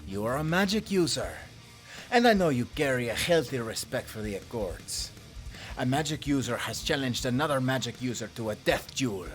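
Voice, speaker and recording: A man playing a pompous, formal heroic magician in an audio roleplay, ceremonious and quick to take offence. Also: medium pitch, expressive, soft background music, natural voice. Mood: stern formal.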